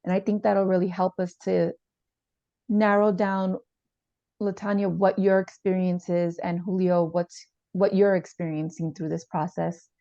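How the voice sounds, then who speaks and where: A woman playing a calm, supportive family therapist, speaking in a reassuring, slightly hesitant way in a role-played session. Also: fairly low pitch, a little slow, vocal fry. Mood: patient, encouraging. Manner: reassuring, hesitant, repeats gently. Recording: echoey room, slightly dull sound.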